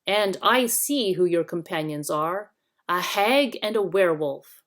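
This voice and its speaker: A young storybook king, voiced by a woman reader, stern and noble. He declaims with indignation and takes his words slowly. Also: on the low side, expressive, a bit bright. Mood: stern anger.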